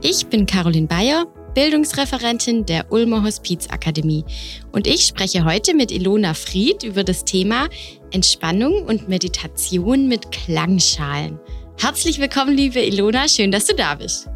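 A woman's voice: medium pitch, big pitch swings, moderate pace, faint music behind. A woman hosting a podcast interview, asking friendly questions and encouraging her guest. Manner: warm, encouraging, frequent affirming murmurs. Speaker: podcast host and education officer.

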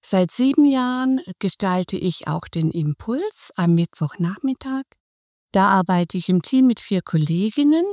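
A middle-aged woman speaks as a guest in a podcast interview, explaining things calmly and in measured steps. Her voice is mellow and warm. The recording is dry and close. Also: medium pitch, no room sound. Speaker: hospice volunteer, guest.

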